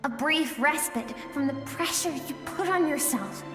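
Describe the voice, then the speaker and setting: A soft earnest game heroine, slow and pleading, big echoey reverb, music behind. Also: female voice, high voice. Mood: pleading, impassioned. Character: gentle suppressed emotional self of a swordswoman, pleading and earnest. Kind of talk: video game voice acting.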